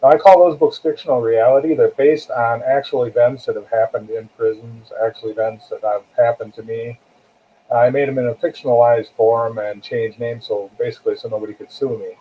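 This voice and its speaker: A man's voice: mid-range voice, steady tone, a little fast, slight background noise. An older American man answering as an interview guest in a plainspoken, conversational way, repeating phrases for emphasis. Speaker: guest author, retired corrections captain. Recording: tinny, phone-like narrow-band call audio.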